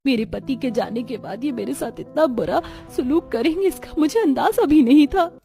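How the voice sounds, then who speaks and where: A woman voicing a gentle, patient young wife and mother in a cartoon, who talks softly and pleads. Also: high-pitched, animated, normal speed, vocal fry, faint music behind. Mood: sad. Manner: soft, pleading. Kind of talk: Hindi cartoon voice acting.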